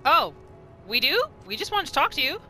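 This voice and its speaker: Bright, expressive woman gamer. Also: high-pitched, fairly quick, faint music behind. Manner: expressive, exclamatory. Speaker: gamer, roleplay host. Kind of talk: casual gameplay commentary.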